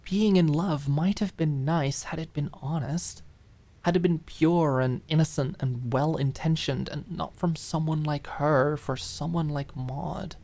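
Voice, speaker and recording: A woman's voice: deep voice, measured pace, mellow. A woman reading a dramatic fiction audiobook expressively, lingering on key words. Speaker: audiobook narrator. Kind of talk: dramatic scripted fiction narration.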